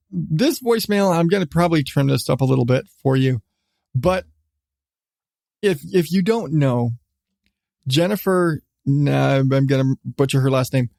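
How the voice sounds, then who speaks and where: A middle-aged man hosting a casual solo podcast, talking in a rambling, halting way with repeated restarts. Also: medium pitch, creaky, darkish tone, no echo, clean studio sound. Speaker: podcast host.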